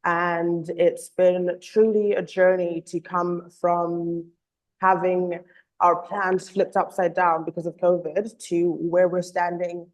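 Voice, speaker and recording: A woman giving an earnest online presentation as a communications officer, slightly hoarse from a cold, with frequent ums and uhs. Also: lowish voice, little pitch movement, takes it slowly, low-quality recording. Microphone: video call.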